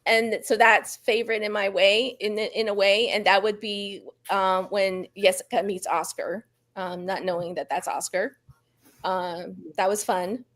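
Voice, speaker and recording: A woman's voice: medium pitch, fairly even intonation, unhurried, a bit bright. A woman author speaking as a guest in a casual book chat over a video call, candid and self-deprecating. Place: live online video call.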